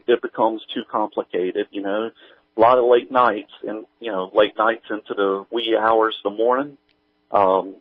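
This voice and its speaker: Middle-aged man, interview guest, hesitant and conversational, lower register, band-limited recording. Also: no room sound, narrow, tinny audio. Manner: halting, hesitant delivery full of pauses. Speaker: guest, audio drama creator. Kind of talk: casual interview chat.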